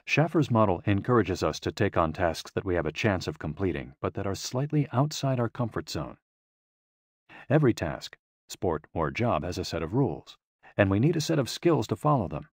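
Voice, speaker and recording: A man reading a scripted audiobook in a measured, clearly phrased, explanatory manner. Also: low-pitched, darkish tone, dry recording, clean studio sound. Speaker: audiobook narrator.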